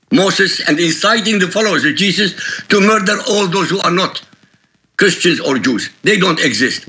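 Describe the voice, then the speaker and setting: A man from Iraq with an Iraqi Arabic accent, a native Arabic speaker, answering interview questions emphatically and with forceful repetition. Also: high voice, poor audio. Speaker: guest author.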